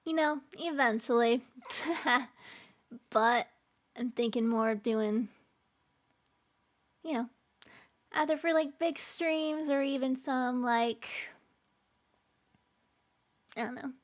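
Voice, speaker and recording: A woman's voice: high-pitched, slow, long pauses. A woman streaming live, chatting casually with her chat, laughing often and trailing off with fillers. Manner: giggly, laughs often between lines.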